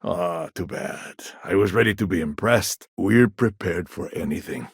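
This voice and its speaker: A man acting a shrewd, self-assured businessman in a video game, with a wry, smooth-talking manner. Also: sits low, unhurried, no room sound, clean studio sound, full character voice.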